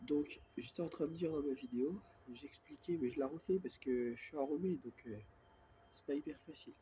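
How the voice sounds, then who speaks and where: A somewhat high-voiced man with a stuffy head cold speaks quietly into a phone held close, in a rambling, repetitive monologue. A faint hiss sits under his voice. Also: fairly quick, lo-fi sound. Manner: speaks softly on purpose; rambling, repeats filler words constantly. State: has a cold, congested and coughing. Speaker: self-filmed vlogger. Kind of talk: casual monologue to camera.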